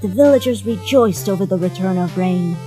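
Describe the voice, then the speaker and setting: A woman's voice: mid-range voice, fairly quick, natural voice. A woman voicing a caring, motherly female monster in a comic dub, speaking politely and formally like a soothing storyteller. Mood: solemn storytelling. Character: gentle, caring, courteous motherly female monster behind a door. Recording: music behind the voice.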